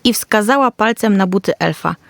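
A woman's voice: medium pitch, moderate pace, gravelly, fairly bright tone, no echo, studio quality. A woman storyteller reading a scripted fairy tale for children.

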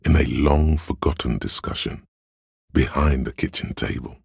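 Very deep gravelly voice of cold logic. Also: male voice, no room sound, clean studio sound, heavily acted. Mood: cool, analytical. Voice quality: gravelly, husky. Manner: measured, deliberate, dryly analytical. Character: detective's inner voice of logic, cool, cerebral, condescending. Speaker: voice actor. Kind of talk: scripted game narration.

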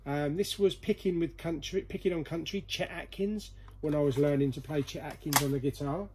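Male vlog host, slightly high voice, informal and jokey, faint noise behind him in a storeroom. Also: a little fast. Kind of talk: casual chat while going through a collection. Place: indoor storeroom full of boxes.

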